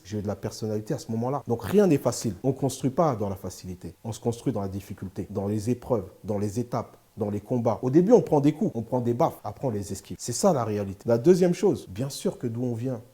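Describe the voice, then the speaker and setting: Middle-aged man, dark and rapid, emphatic interview guest. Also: medium pitch. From France. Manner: steady, emphatic, builds points in repeated short phrases. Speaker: interview guest, lawyer and sports agent. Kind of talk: candid interview talk.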